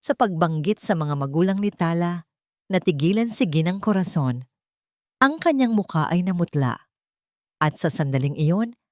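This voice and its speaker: A woman's voice: lowish voice, a little mellow, no echo, clean studio sound. A woman narrating a scripted story in a dramatic style.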